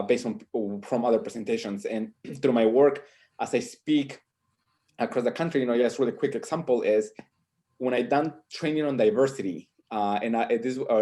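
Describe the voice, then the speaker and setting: A man's voice: mid-range voice, darkish tone. A man speaking as a professor on a panel over a video call, reflective and often checking in with "right?". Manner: reflective, tags points with "right?".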